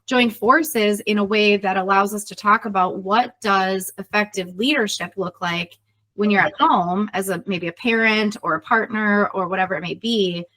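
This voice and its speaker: A woman's voice: medium pitch, moderate pace, pushed and bright. A middle-aged woman consultant talking in a conversational interview, explaining earnestly with everyday example questions. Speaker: leadership consultant and podcast co-host, being interviewed.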